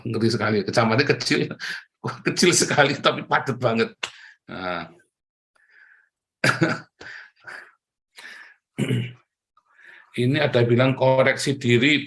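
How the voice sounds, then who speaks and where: A man's voice: mid-range voice, a bit bright. A middle-aged man from Tegal preaching in a chatty sermon style, with a Tegal Javanese accent, often addressing listeners as brothers and sisters. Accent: Tegal Javanese (ngapak). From Tegal, Central Java, Indonesia.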